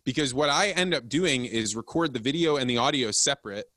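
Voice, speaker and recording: A man hosts a casual podcast in a medium-pitched voice. He explains things in a conversational, advice-giving way. The sound is dry, with very little room on it. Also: animated, brisk, sharp tone, no echo. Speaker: podcast host.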